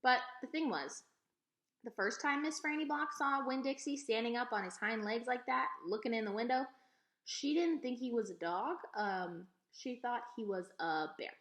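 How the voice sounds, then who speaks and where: A woman reading a children's novel aloud as an expressive storyteller. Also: fairly high pitch, normal speed. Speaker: read-aloud reader. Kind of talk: expressive children's storybook narration, told as a young girl. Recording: echoey, roomy sound.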